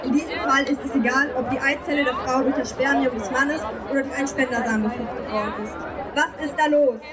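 Young female rally speaker, high-pitched, prepared political address, off-mic with heavy crowd sound. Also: steady tone, quick pace. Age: young adult. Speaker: youth group leader giving a rally speech. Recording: distant microphone with loud crowd.

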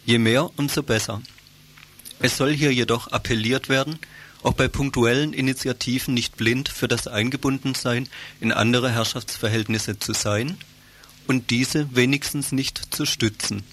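A man's voice: mid-range voice, steady tone, moderate pace, fairly bright tone. Man reading a scripted political radio commentary.

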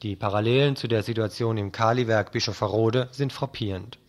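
A man's voice: on the low side, brisk. A man reading a scripted radio news commentary in speech, as a reporter.

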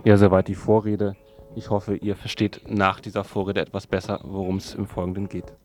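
A man presenting a semi-scripted radio introduction in an even, flat delivery. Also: low voice, brisk.

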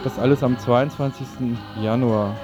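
A man hosting a radio programme, giving loosely worded, somewhat halting announcements. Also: mid-range voice, steady tone, over loud music. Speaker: radio host. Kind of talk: unscripted community radio announcements.

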